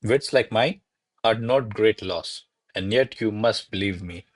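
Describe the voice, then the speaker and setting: A man's voice: fairly low pitch, measured pace, dry recording. A man playing a courtly, ardent servant in a classical radio play, speaking in a formal, florid, deferential manner. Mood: deferential, defensive. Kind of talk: stage-style radio play acting of classical verse.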